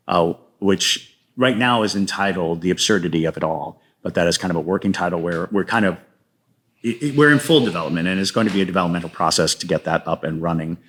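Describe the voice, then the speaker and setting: Deep-voiced man, enthusiastic and rambling. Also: a little fast, fairly bright tone. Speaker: playwright guest. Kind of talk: casual interview conversation.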